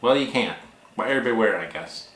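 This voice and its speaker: A man talking casually as a vlog host and author, with a halting, wry, self-deprecating manner. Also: fairly low pitch, lively intonation, a bit bright. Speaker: author and book vlogger hosting. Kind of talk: casual vlog talk, reading reviews aloud. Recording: echoey room.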